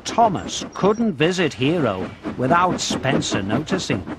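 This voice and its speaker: A man narrating a children's game in an expressive storybook style, telling the story in a measured way and giving prompts to young players, over music. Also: on the high side. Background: background music.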